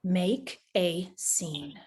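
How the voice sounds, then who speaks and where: A woman acts a young sidekick in a drama read. She is shrewd and casual, with a slightly low voice. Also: very slow, darkish tone. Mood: dry, probing.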